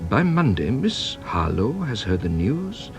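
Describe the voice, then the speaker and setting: French detective inspector, low voice that swoops around, teasing and dramatic, radio play. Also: male voice, sing-song, gravelly, music in the background, full character voice. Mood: wry, curious. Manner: teasing, theatrical, deliberate dramatic pauses. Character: shrewd, playful, theatrical French male police inspector. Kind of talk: British radio drama acting.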